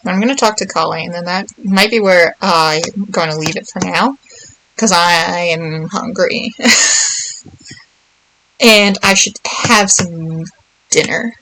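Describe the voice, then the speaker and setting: A woman's voice: fairly low pitch, slow pace, pauses often, fairly bright tone, poor audio. A young woman giving casual game playthrough commentary, tossing offhand, playful asides to the characters. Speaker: game streamer.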